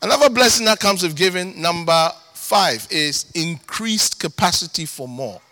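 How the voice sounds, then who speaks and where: A man with a Ghanaian accent preaching a sermon as pastor, animated, breaking into shouts and sing-song emphasis. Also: sits high, moderate pace, faint music behind.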